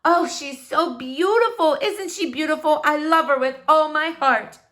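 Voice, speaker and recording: A woman voicing a brusque, dramatic, distracted mother in a storybook, with a sharp, theatrical manner. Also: high-pitched, measured pace, voice pushed up. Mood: gushing.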